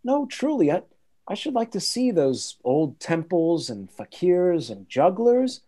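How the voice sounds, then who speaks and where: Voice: medium pitch, big pitch swings, unhurried, warm tone. An actor playing a genial, curious old father in a radio play, hearty, chatty and inquisitive.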